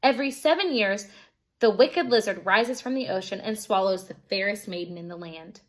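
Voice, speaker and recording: A woman voicing a worried gentleman master who gives grave warnings in a children's storybook. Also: mid-range voice, moderate pace, lightly acted. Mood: fearful warning. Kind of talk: children's storybook read-aloud.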